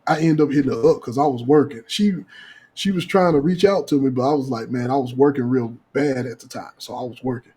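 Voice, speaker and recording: A man with a husky voice telling a casual podcast story in slangy, profane talk, acting out the dialogue. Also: mid-range voice, brisk, dark tone. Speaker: podcast co-host.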